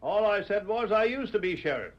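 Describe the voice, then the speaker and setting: High-pitched folksy old frontier deputy. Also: male voice, sing-song, a little fast. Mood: folksy, talkative. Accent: American Western. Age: older. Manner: folksy drawling frontier talk, emphatic. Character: folksy, plain-spoken old former sheriff turned deputy. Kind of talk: 1940s radio western acting. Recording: muffled old radio broadcast.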